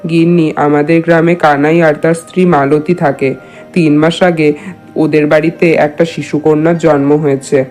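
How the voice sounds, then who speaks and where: A man's voice: a little high, level tone, moderate pace, darkish tone, lightly acted. A man voicing a proud, status-conscious village headman and husband in a cartoon, speaking earnestly. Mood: concerned. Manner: earnest, addressing his wife familiarly. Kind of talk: cartoon dialogue. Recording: music behind the voice.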